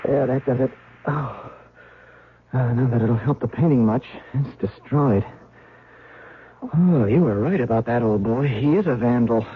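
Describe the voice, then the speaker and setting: An actor playing a plain-spoken museum guard in a radio drama, casual and matter-of-fact, on a muffled old recording. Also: male voice, medium pitch, a little slow, long pauses, lightly acted. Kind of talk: 1970s radio drama acting.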